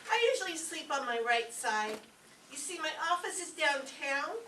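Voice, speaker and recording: A woman's voice: sits high, very animated, moderate pace, pushed and bright, full character voice. A woman playing a naive, scatterbrained, easily frightened young roommate in a radio comedy, earnest and literal-minded. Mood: earnest, dim. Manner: earnest, literal-minded delivery of silly logic. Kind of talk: live old-time radio comedy acting. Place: echoey room, mic at a distance. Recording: roomy, distant live stage recording, faint noise.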